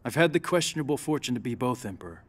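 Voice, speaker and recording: A man's voice: medium pitch, lively intonation, quick pace, lightly acted. A man playing an earnest young warrior monk in a game, speaking formally and persuasively. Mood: earnest, diplomatic. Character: earnest young male warrior monk, smooth-talking diplomat. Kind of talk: video game cutscene acting.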